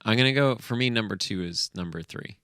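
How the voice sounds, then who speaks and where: A man's voice: fairly low pitch, fairly quick, a bit bright, clear, resonant tone, no room sound, studio quality. A man co-hosting a podcast, chatting casually about films in a rambling, sometimes profane way. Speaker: podcast co-host. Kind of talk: casual film review chat.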